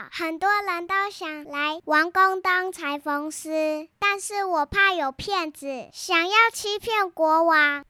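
A woman's voice: very high voice, sharp tone, dry recording, clean studio sound, full character voice. A young girl playing a brave, earnest little heroine in a children's audio story, reciting carefully.

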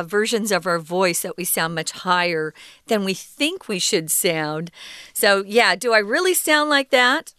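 Bright clear woman teacher. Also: medium pitch, no echo, clean studio sound. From United States. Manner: explains words patiently with many fillers. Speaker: co-host teaching English. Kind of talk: conversational lesson.

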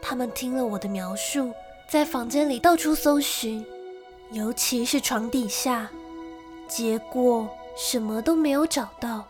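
An actress playing a lonely young gentlewoman who tells of a frightening childhood in an emotive radio drama style. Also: a little high, unhurried, faint music behind, close to an everyday voice. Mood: melancholy. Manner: storytelling, emotive.

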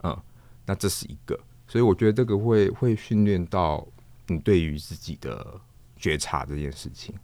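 Male interview guest, Taiwanese accent: very low register, reflective and hesitant, often repeating words, close dry sound. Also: darkish tone, no room sound. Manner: halting, thoughtful, searches for words and repeats himself. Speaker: guest, theatre performer, puppeteer and director.

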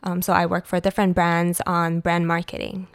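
A woman's voice: lowish voice, completely flat delivery, moderate pace, smooth. A woman, answering interview questions in an easygoing way with hesitant fillers. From United States and Taiwan. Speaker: guest, food blogger and marketing strategist.

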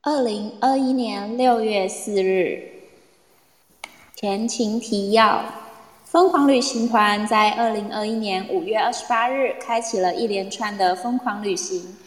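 A woman's voice: medium pitch, measured pace, lightly acted. A woman playing the recap narrator of a comedy audio drama, reading scripted narration. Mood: narrating. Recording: echoey, reverberant online audio room.

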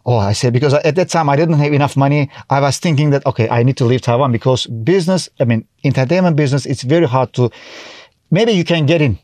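A man's voice: mid-range voice, fast talker, a little mellow, no echo, studio quality. A middle-aged man from Turkey with a Turkish accent, chatting as an interview guest and telling stories with lots of restarts and acted-out remarks. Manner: chatty, restarts often, acts out his own past remarks. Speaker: guest, TV host and comedian. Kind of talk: casual interview chat.

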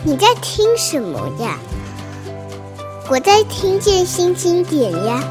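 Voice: very high voice, huge pitch swings, slow pace, exaggerated voice. A performer voicing a curious little childlike character in a playful scripted intro. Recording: music over the voices.

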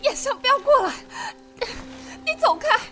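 A woman's voice: very high-pitched, sing-song, normal speed, bright, forceful tone, soft background music, exaggerated voice. A woman playing a frightened young woman on the run in an audio drama, breathless, panicky and pleading. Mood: terrified pleading. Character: frightened young woman fleeing and hiding from her pursuer.

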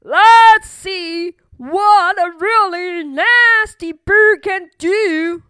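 Giant storybook bird played by a woman: loud, menacing, drawn-out challenges, very slow delivery. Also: very high voice, no echo, studio quality, voice pushed up, exaggerated voice. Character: enormous menacing bird with booming faraway voice.

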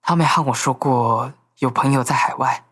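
Grieving middle-aged father, weary, husky voice, radio play. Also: medium pitch, moderate pace, a bit bright. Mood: sorrowful.

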